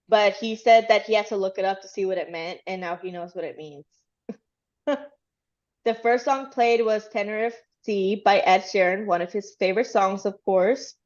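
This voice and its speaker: A woman's voice: mid-range voice, a little mellow, muffled recording. A woman from Texas casually recapping a livestream in a chatty, rambling way with lots of fillers. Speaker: fan channel host.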